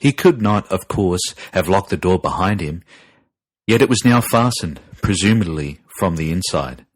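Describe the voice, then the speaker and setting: A man with a deep voice narrates a horror tale. His delivery is dramatic, deliberate and grave, full of foreboding. The sound is close and dry, like a studio audiobook. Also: expressive, dry recording, poor audio. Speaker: narrator and podcast host.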